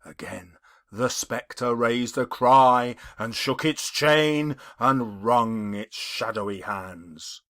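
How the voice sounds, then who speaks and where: A man's voice: mid-range voice, slow pace, fairly bright tone, no echo, clean studio sound. A man narrating a literary audiobook in a dramatic, theatrical and emphatic storytelling style.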